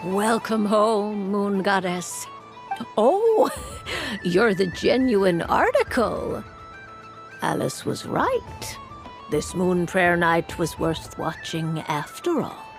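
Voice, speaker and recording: Casting: a mysterious, playful female witch, grand and formal, very animated pitch, game dialogue over prominent music. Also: slow pace. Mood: delighted. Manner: formal, ornate, theatrical. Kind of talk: anime game voice acting.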